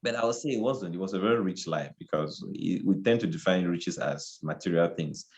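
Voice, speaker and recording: A low-voiced man from Cameroon, talking as an interview guest. He speaks reflectively and casually, often circling back and repeating phrases as he looks for the right words. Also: brisk, darkish tone.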